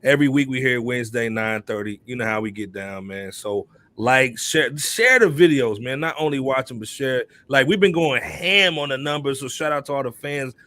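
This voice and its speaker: A man's voice: mid-range voice, normal speed, few pauses, bright, forceful tone, dry recording. A middle-aged American man hosts a hip hop podcast with a mid-range voice. He talks in loose slang and repeats his phrases for emphasis. The sound is dry, with very little room. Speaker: podcast host.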